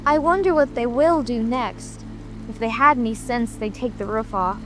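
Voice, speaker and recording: A woman's voice: a little high, normal speed, close to an everyday voice. A woman playing a curious, plucky, indignant young girl talking decidedly to herself in a dramatic audiobook. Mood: defiant. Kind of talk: dramatic audiobook character acting. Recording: music and rain ambience behind.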